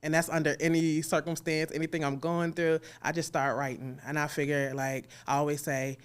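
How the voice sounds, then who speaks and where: A woman's voice: low-pitched, flat delivery, fairly quick, clear, resonant tone. A woman speaking casually as an interview guest, in an informal, encouraging way. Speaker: interview guest, audio drama creator.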